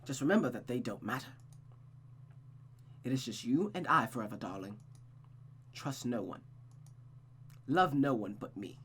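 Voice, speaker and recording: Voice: mid-range voice, animated, moderate pace, pauses often, fairly bright tone, slight background noise, voice pushed down, close to an everyday voice. A performer voicing a cold, manipulative mother who coaxes and dominates her child with silky endearments in a visual novel. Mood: coldly persuasive.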